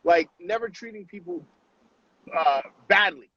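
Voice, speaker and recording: High-voiced man on a dull video call. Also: unhurried, no room sound. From Haiti. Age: 30s. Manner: animated, with frequent tag questions. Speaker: guest. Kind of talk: casual storytelling on a live stream.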